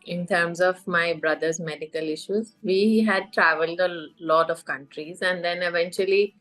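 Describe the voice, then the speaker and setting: A woman's voice: fairly low pitch, fairly even intonation, moderate pace, fairly bright tone, soft background music, rough recording. A middle-aged woman from Iran, a life coach, telling her story in a podcast interview with many fillers and restarts.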